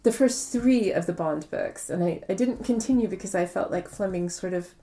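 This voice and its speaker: A woman's voice: medium pitch, moderate pace, mellow. A woman speaking conversationally as an interviewed author, explaining things reflectively and repeating words for emphasis. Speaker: interviewed novelist.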